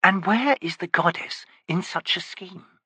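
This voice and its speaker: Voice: medium pitch, a little slow, sharp tone, slightly rough, no echo, voice pushed up. A narrator voicing a wary, impatient male wizard questioning a witch. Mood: probing.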